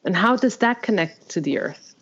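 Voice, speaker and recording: A woman's voice: mid-range voice, animated, moderate pace, lo-fi sound, slight background noise. A woman with a Danish accent, a native Danish speaker, hosting a podcast interview and talking hesitantly with many restarts. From Denmark. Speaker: podcast host. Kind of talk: interview conversation.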